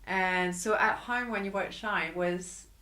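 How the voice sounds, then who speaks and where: A woman's voice: medium pitch, a little slow, bright, forceful tone, echoey room. A woman interviewing a student, chatty and laughing often, with rambling restarts. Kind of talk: casual interview.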